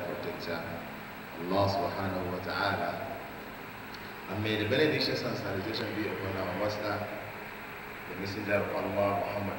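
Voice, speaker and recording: A man speaking formally at a mosque gathering, pausing often with fillers, recorded from far off in an echoey hall. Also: deep voice, heavy background noise. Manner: formal, halting with many fillers. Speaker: mosque committee member. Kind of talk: formal opening remarks. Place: mosque hall, seated at a table. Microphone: handheld mic, filmed from far.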